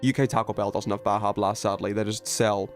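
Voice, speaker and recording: A man's voice: lowish voice, level tone, a little fast. Opinionated man livestreaming, music behind him. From United Kingdom. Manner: opinionated, emphatic, sweary. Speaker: video game livestreamer. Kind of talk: casual chat with viewers while gaming.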